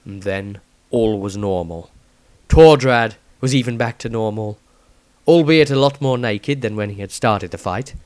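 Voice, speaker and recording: A man's voice: on the low side, measured pace, darkish tone, dry recording. A man reading a fantasy story as a scripted narration, with a theatrical, emphatic delivery. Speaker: author reading his own story.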